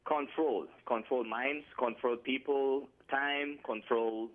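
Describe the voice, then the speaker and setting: A man's voice: medium pitch, fairly even intonation, slow. A man from Colombia with a Colombian Spanish accent, calling in and talking hesitantly with many fillers and restarts. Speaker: caller. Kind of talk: phone call-in conversation. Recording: tinny phone line.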